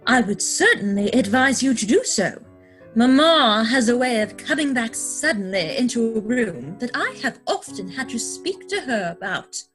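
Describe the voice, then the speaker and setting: A woman's voice: fairly high pitch, very animated, moderate pace, a bit bright, soft background music, rough recording. A woman playing a prim, self-assured young society lady in a stage comedy reading, affected, coy and precise. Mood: coy, confident.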